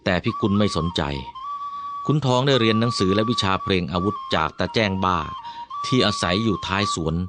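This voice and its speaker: A man with a fairly low voice narrates a radio drama in scripted style. He sets out the tale formally, with flute music clearly playing behind him. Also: brisk. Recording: studio narration over loud flute music.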